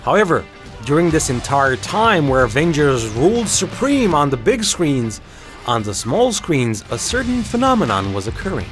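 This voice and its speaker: A man's voice: on the high side, very animated, normal speed, a bit bright. A man narrating an opinionated video essay as host, with a sarcastic, emphatic way of talking. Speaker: video game commentary host. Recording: music behind the voice. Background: background music.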